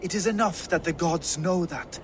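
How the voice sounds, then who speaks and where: An actor playing a fearless, devout, proud Viking warrior at life's end in a video game, declamatory and deliberate. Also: male voice, a little high, slight background noise, natural voice. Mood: solemn.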